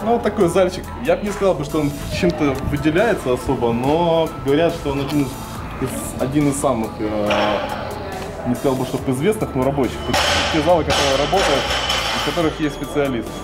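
A man's voice: a little high, fairly quick. A young man walking a gym with a camera, commenting casually as he thinks aloud, with fillers and restarts. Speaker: weightlifting vlogger touring a gym. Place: large gym hall indoors. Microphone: handheld camera at close range. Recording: echoey gym hall with loud music. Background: loud music.

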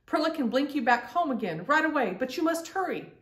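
A woman's voice: sits high, expressive, a little fast, fairly bright tone, voice pushed up. A woman voicing an urgent, insistent female fairy in a children's storybook. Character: urgent female fairy warning young girls. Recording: roomy, distant-mic home recording.

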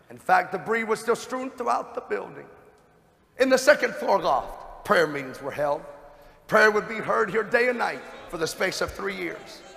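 Stage monologue: dignified revival witness played by a man, high voice, dramatic and solemn, reverberant theatre with music. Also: gravelly, a bit bright, full character voice. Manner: solemn, dramatic, preacherly emphasis. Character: fervent early-1900s revival witness, dignified gentleman narrator. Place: stage of a large dark theatre. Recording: echoey hall, music behind.

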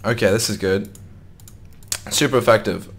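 A man's voice: lowish voice, normal speed, fairly bright tone. A young man with a slightly sore voice, casually commenting on his gameplay and thinking aloud as he plays. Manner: thinks aloud, wondering and muttering to himself. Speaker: gaming YouTuber.

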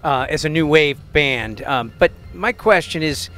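A man's voice: fairly high pitch, unhurried, sharp tone, soft background music. A man from Metro Detroit interviewing a musician, asking long, rambling questions full of fillers into a handheld mic in an indoor room. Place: indoor venue backstage room.

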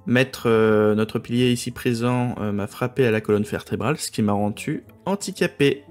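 A man's voice: medium pitch, moderate pace, darkish tone, faint music behind. A man improvising playful roleplay banter as a streamer, theatrical and joking, prone to sudden outbursts.

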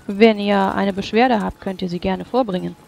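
A tabletop roleplayer speaking formally and in a measured way, switching between in-character speech and narration. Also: mid-range voice, steady tone, creaky, darkish tone, slight background noise. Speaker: player or game master voicing characters in a tabletop game.

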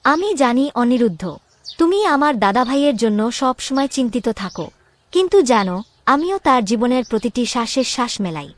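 A woman's voice: a little high, expressive, moderate pace, close to an everyday voice. A woman playing a caring, anxious young royal woman in a cartoon drama, speaking earnestly and expressively. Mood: worried. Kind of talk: dramatic Bengali cartoon voice acting.

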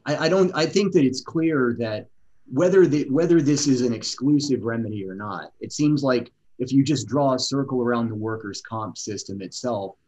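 A man's voice: mid-range voice, normal speed, a little mellow. A middle-aged man serving as a judge, questioning an attorney at oral argument in a probing, conversational way. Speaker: appellate judge. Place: courtroom bench, indoors.

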